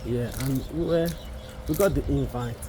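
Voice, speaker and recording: A young man with a husky voice, hoarse from a sore throat, chatting casually and playfully to his vlog viewers as he rambles along. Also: medium pitch, normal speed, noisy background. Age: young adult. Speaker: vlogger, content creator. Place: outdoors, quiet residential estate street.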